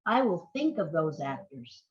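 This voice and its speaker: A woman's voice: low-pitched, big pitch swings, measured pace. An older woman who works as a playwright, giving reflective, anecdotal answers in a conversational interview. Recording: dull, lo-fi voice recording.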